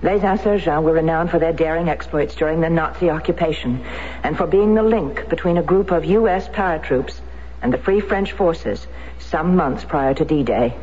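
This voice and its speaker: A woman's voice: low-pitched, moderate pace, darkish tone, slight background noise, lightly acted. A woman playing a grieving, persistent wife who presses her husband hard with questions, in a 1970s radio drama. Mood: intent. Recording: lo-fi old radio broadcast.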